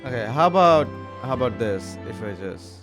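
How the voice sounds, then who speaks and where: A man's voice: medium pitch, sing-song, moderate pace. A man giving casual, jokey, rambling playthrough commentary, reacting aloud to a video game. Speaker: gamer commentator. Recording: game music behind voice. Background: game music.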